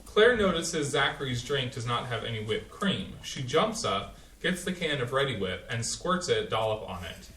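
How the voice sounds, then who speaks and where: A man's voice: medium pitch, sing-song, moderate pace, few pauses, pushed and bright. A man reading the stage directions aloud in a staged play reading. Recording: distant, roomy, faint hiss.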